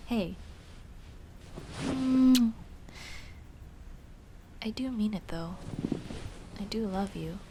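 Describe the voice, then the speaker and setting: A woman's voice: mid-range voice, very slow, pauses often, noisy background. A young woman playing a shy, teasing tomboy childhood friend in love, in a casual, hesitant and intimate ASMR roleplay. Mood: bashful, affectionate. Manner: playful, bashful, hesitant, casual dude-talk. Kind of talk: ASMR roleplay acting, intimate girlfriend scene.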